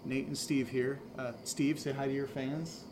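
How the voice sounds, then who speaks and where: A man's voice: mid-range voice, level tone, lightly acted. Man acting earnest, exasperated podcaster, noisy background. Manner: halting, pleading, insistent. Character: earnest, exasperated, jobless male amateur podcaster. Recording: noticeable ambient background noise.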